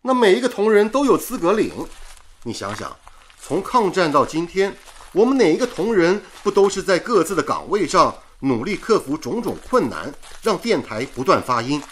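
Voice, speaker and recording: A man's voice: high voice, very animated, normal speed, slight background noise. An actor playing a cocky, jovial radio engineer with a teasing manner, wooing his sweetheart in a radio drama. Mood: earnest, jovial. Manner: teasing, bantering. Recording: radio drama with music behind.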